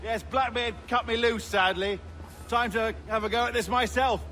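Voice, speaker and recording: An actor playing a genteel, timid, earnest gentleman turned hapless pirate in a video game, speaking in a polite, fussy, flowery way. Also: extremely high pitch, steady tone, normal speed, soft background music. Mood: wistful, grateful. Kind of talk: cinematic video game acting.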